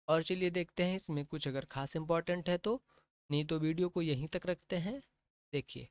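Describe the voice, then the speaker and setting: A man's voice: on the high side, clear, resonant tone, no echo. A man coaching exam candidates, talking through a recruitment notice he shows on screen and checking in often with "okay". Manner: plain, repetitive explaining with frequent "ठीक है" checks. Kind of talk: explaining a job notification on screen.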